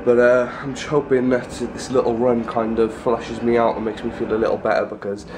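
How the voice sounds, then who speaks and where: Young male vlogger, stuffy and congested with a sore throat, mid-range pitch, rambling casual delivery, handheld camera indoors with faint room hum. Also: level tone, a little fast. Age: young adult. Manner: rambling, talks in loose run-on sentences. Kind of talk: casual vlog chat. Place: small rooms in a house, indoors. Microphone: handheld camera at arm's length.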